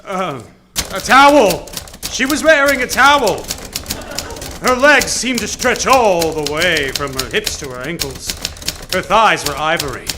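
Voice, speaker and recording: A noir-parody private eye with a strikingly high voice that swings widely in pitch. He narrates in theatrical, wisecracking gumshoe style, as in a live radio play, with clear background noise. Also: unhurried. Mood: wry narration. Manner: theatrical, deadpan quips, old-time gumshoe slang. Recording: noisy live theater stage recording.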